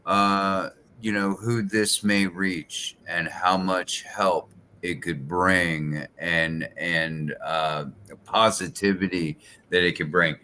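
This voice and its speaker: A man's voice: low-pitched, steady tone, sharp tone, a little hiss or hum. A man in his fifties hosting a podcast interview, talking casually with hesitant fillers. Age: 50s. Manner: slow, hesitant, many fillers. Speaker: podcast host.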